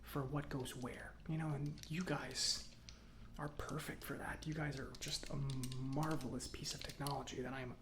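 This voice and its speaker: A man playing a chatty, easygoing robot mechanic in an ASMR roleplay, speaking softly in a breathy half-whisper with a rambling, conversational manner. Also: mid-range voice, little pitch movement, normal speed, noisy background, close to an everyday voice. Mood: calm, chatty. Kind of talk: soft-spoken ASMR roleplay.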